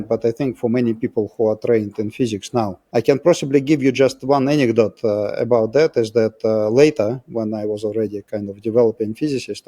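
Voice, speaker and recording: A man's voice: medium pitch, mellow. A middle-aged man with a Russian accent, explaining things as an interview guest in a reflective, discursive way. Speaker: interview guest, physicist turned biotech scientist.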